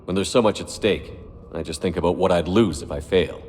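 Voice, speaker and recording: A steady, resolute male commander, acted by a man for a cinematic game. His voice sits very low. It rings with long reverb over a faint ambient noise. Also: brisk, close to an everyday voice. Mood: resolute, reassuring. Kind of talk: cinematic video game acting.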